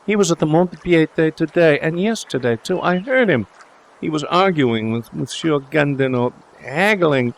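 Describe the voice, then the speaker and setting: A man's voice: on the high side, expressive, moderate pace, creaky, pitched above the performer's own voice. A man voicing a proud, direct young woman who speaks emotionally to her father in a storybook reading. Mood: upset, trembling. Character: proud, blunt young Englishwoman confronting her father, distressed.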